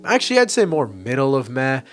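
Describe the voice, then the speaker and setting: A man's voice: mid-range voice, wildly swooping pitch, brisk, fairly bright tone, faint music behind. A young man giving casual, opinionated movie-ranking commentary, enthusiastic and blunt, with frequent restarts and casual swearing. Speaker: movie reviewer.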